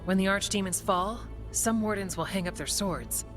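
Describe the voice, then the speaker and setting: An actress playing a steadfast, practical warden in a fantasy video game, matter-of-fact and resolute. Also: female voice, mid-range voice, moderate pace, natural voice. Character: steadfast, practical female warden, dutiful and reassuring. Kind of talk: video game dialogue acting. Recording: game music underneath.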